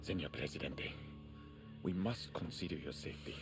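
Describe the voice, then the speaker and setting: An actor playing a presidential aide who pleads in an urgent, deferential way. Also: male voice, rumbling low, normal speed, vocal fry, over music. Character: anxious male presidential aide. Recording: game cutscene with score.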